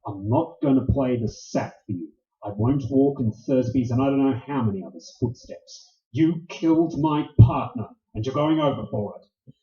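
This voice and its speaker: An actor playing a bitter, unsentimental private detective in a tough, clipped 1940s radio noir style. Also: male voice, medium pitch, normal speed, mellow, lightly acted. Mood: hard, conflicted. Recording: dull, echoey room recording.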